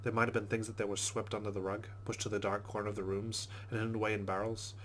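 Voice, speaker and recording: A man's voice: lowish voice, flat delivery, fast, fairly bright tone, slight background noise. A man hosting a let's play, giving casual game commentary and reading the game's text aloud.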